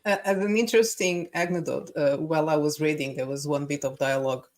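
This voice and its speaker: Lower-pitched woman, halting conversational delivery. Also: a little mellow. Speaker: book discussion panelist. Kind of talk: casual anecdote telling.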